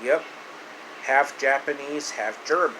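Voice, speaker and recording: A man telling a story in improvised narration, halting and repeating his phrases as he goes. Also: medium pitch. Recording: loud steady room hum and hiss.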